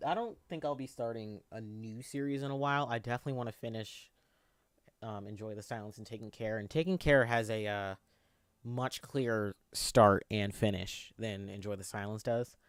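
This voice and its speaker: A man in his twenties with a youthful, boyish voice, chatting on a live stream as he works. His delivery rambles and stalls, full of fillers and restarts, pitched right in the middle for a man. The sound is dry and close. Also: moderate pace, no room sound. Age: 20s. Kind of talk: casual live-stream chat answering viewers.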